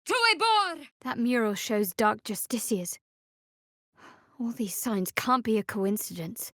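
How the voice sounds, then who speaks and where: An actress voicing a guarded, devout dark cleric woman in a video game, with a dry, sardonic, measured delivery. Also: a little high, expressive, no room sound, exaggerated voice. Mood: intense, incanting, then guarded, composed. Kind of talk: video game character voice acting.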